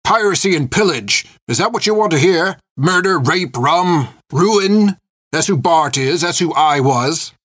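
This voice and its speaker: A gruff old reformed pirate, played by a male narrator, haunted and fierce yet tender underneath. He talks in folksy, ungrammatical seaman's speech, in a dramatic audiobook performance. The sound is very dry and close. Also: medium pitch, moderate pace, dry recording, natural voice. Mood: bitter fury. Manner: folksy, ungrammatical rustic old-sailor talk. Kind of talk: dramatic audiobook character acting.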